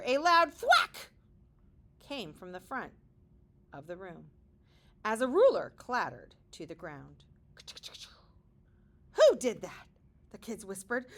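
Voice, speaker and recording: A woman reading a picture book aloud to young children like a teacher, expressive, with dramatic pauses and wondering asides. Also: on the low side, slight background noise.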